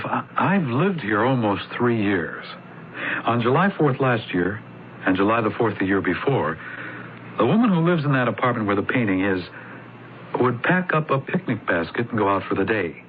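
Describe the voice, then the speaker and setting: An actor playing an observant, suspicious male neighbor in a radio drama, deliberate and insinuating. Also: on the low side, expressive, lightly acted. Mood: suspicious, insistent. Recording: tinny vintage radio broadcast, faint noise.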